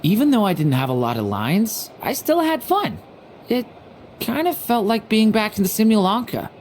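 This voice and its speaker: A woman playing an easygoing young female adventurer in a fantasy video game dub, relaxed and conversational. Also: mid-range voice, normal speed, slight background noise, lightly acted. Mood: content, relaxed.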